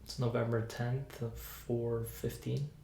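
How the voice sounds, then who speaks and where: A soft-spoken woman playing a patient in an ASMR medical roleplay, giving brief, low-key, compliant answers. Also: flat delivery, slow pace, gravelly. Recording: roomy sound with faint hiss.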